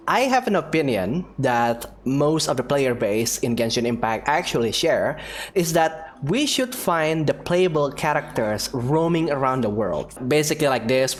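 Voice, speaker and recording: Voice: mid-range voice, a little hiss or hum. A streamer giving casual commentary over a game playthrough, reacting aloud in a playful way.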